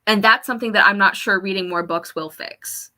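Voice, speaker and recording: A woman's voice: medium pitch, fairly quick, sharp tone. A woman co-hosting a casual book discussion, thoughtfully qualifying her opinions as she goes. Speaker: co-host on a book discussion livestream.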